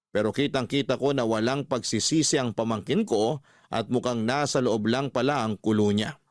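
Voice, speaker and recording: A man's voice: mid-range voice, no room sound. Man narrating radio love story, dry sound. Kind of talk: scripted first-person story narration.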